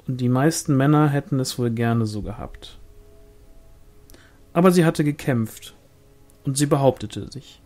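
A man's voice: medium pitch, measured pace, pauses often, a little mellow, soft background music. A man reading a novel aloud as scripted audiobook narration. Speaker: audiobook reader.

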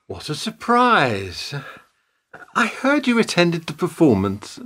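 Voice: medium pitch, huge pitch swings, slow, fairly bright tone, full character voice. A performer playing a theatrical male stage actor, politely patronising, in a radio play. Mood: polite, resigned.

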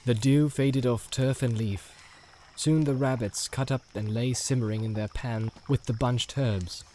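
Male audiobook narrator, medium pitch. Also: fairly even intonation, a little slow, warm tone, slight background noise. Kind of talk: scripted literary narration.

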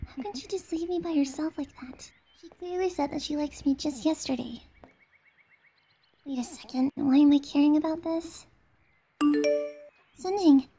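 A woman's voice: high voice, moderate pace, pauses often, warm tone, a little hiss or hum, voice pushed up, lightly acted. A woman voicing a shy, flustered teenage schoolgirl who overthinks anxiously, in an amateur comic fandub. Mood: embarrassed.

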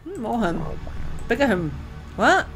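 A woman reading a horror visual novel aloud as dramatic, expressive narration, as host of a gameplay video. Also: a little high, measured pace, faint music behind.

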